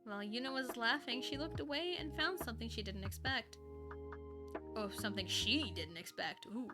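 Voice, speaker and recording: A woman streamer reading a story aloud, expressive and playful, with chatty asides. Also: fairly high pitch, moderate pace, over music.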